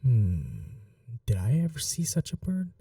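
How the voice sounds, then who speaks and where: Casting: woman to play possessive vampire mistress for ASMR roleplay, very soft dark tone, slow teasing delivery, breathy whispers. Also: rumbling low, wildly swooping pitch, no room sound, clean studio sound, recorded from a distance, full character voice. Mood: wistful. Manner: teasing, coaxing, unhurried. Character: cruel, doting vampire mistress toying with her captive.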